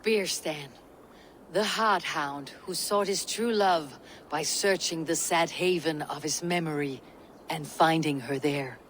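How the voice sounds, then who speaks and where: A woman playing a steady, commanding Viking warrior leader in a video game, speaking in a measured, resolute way. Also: low-pitched, a little hiss or hum. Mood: friendly, composed. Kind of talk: dramatic video game acting.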